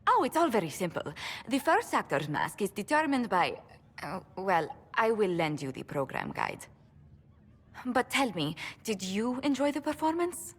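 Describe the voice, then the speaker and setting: A woman's voice: medium pitch, sing-song, normal speed, husky. An actress playing a refined, earnest young woman diplomat in a video game, speaking in formal, polite phrases. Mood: delighted.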